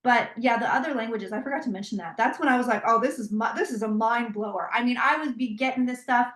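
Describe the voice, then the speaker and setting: A woman who reads tarot, telling stories in an animated, conversational way with frequent restarts. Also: fairly high pitch, fast talker, echoey room. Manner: animated storytelling with many restarts. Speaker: psychic tarot reader. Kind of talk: interview conversation.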